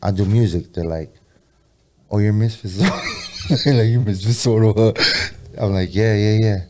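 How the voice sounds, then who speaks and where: A man's voice: on the low side, normal speed, warm tone. Tipsy profane man in his thirties. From California. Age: 30s. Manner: loose, profane, rambling street slang. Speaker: guest rapper and producer. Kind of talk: casual podcast chat.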